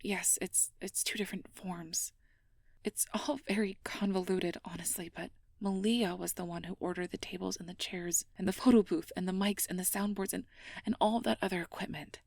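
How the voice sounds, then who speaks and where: Sweet, conscientious, anxious girlfriend played by a woman for intimate ASMR role-play; breathy, lightly creaky, hesitant and polite. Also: lowish voice, steady tone, normal speed, darkish tone, no room sound, clean studio sound. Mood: exasperated, flustered. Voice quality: breathy, often whispered. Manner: earnest, hesitant, careful polite phrasing. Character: sweet, anxious, conscientious young air mage girlfriend confiding her worries.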